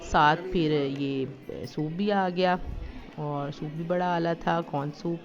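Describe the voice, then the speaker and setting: A woman's voice: low-pitched, fairly even intonation, takes it slowly, faint music behind. Chatty woman narrating a family vlog. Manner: chatty and homely, with frequent fillers. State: slightly sore throat. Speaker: family lifestyle vlogger. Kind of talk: casual vlog voice-over narration. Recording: slightly dull lo-fi recording.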